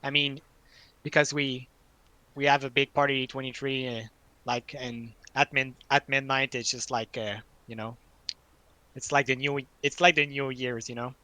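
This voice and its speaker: A man from Quebec, a native French speaker with a Quebec French accent, chatting casually and haltingly, repeating words as he searches for phrasing. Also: mid-range voice, level tone, bright, forceful tone, no echo. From French-speaking Quebec, Canada. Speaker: study group participant. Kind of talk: casual group chat.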